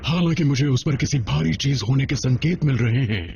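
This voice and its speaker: Man acting a gravelly, cold robot scientist. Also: mid-range voice, fairly quick, lightly acted. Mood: cold, matter-of-fact. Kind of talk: dubbed cartoon acting. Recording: dull band-limited sound, faint noise.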